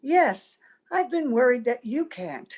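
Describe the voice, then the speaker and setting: A fairly high female voice from an actress as a wistful gentlewoman with a secret in an old-fashioned radio play. She speaks earnestly and gently, with a touch of melodrama. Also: sing-song, takes it slowly, slightly rough, heavily acted. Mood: gentle, concerned. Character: wistful, secretive mother posing as a young visitor. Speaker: actor in an amateur radio play. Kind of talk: old-time radio drama acting. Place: at home, recorded over video call.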